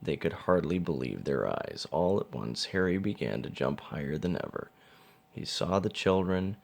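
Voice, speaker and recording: Male storybook narrator, low and gravelly, with lively swings in pitch. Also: normal speed. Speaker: father reading a picture book. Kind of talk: children's storybook narration read aloud.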